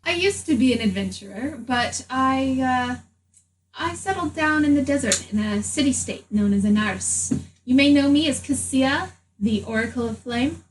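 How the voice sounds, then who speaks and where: A woman with a very high voice speaks in character during a tabletop roleplaying game. Her delivery is measured and explanatory, recorded a little way from the mic with faint noise. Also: animated, far from the mic, poor audio.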